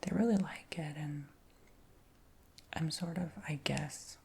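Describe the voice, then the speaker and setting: Whispering man with a light, high voice. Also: slow pace, vocal fry, background noise. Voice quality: whispering throughout. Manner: rambling, chatty, frequent ums and likes. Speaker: ASMR creator. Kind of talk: whispered ASMR storytime chat.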